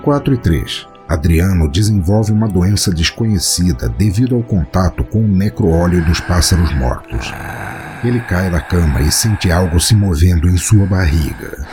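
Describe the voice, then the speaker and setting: Man, Brazilian Portuguese accent, low voice, solemn measured horror narration, music clearly underneath. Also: expressive. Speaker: podcast narrator. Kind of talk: dramatic scripted horror story narration.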